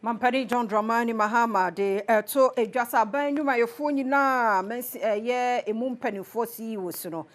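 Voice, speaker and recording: A woman's voice: a little high, normal speed, hardly any pauses. A woman with a Ghanaian accent presenting scripted television news.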